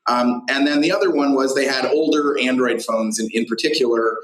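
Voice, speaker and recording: A man giving unscripted interview answers as a tech company founder, halting and full of fillers as he thinks aloud. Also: mid-range voice. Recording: roomy, echoey sound.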